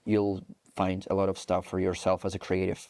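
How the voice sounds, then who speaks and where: A young man in his twenties with a Ukrainian accent, talking English as an interview guest. His voice is dark and mellow, recorded dry and close, and he rambles along with plenty of "like" fillers and restarts. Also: sits low, completely flat delivery, brisk, smooth, no room sound. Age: 20s. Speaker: soldier guest. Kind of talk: interview conversation.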